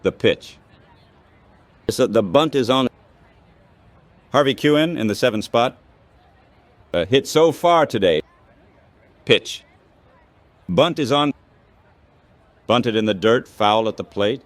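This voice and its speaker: A man's voice: mid-range voice, lively intonation, slow, long pauses, dry recording. A synthetic text-to-speech man's voice calling baseball play-by-play in a radio style, in short clipped phrases.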